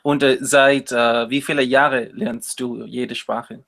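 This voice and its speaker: A man's voice: mid-range voice, fairly even intonation, slow pace, gravelly, fairly bright tone. A man hosting a casual interview, asking simple questions and repeating answers back. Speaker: interviewer, host.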